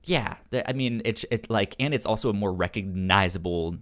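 A man co-hosting a casual comics discussion, stuttering on sounds and syllables in a halting, informal way. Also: on the low side, fairly bright tone. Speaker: podcast co-host reviewing comics.

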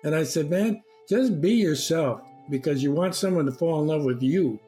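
A middle-aged man with a somewhat high voice shares an anecdote conversationally. He is emphatic and voices the dialogue as he goes, with music underneath. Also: lively intonation, normal speed, mellow. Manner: emphatic, acting out quotes. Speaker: discussion participant.

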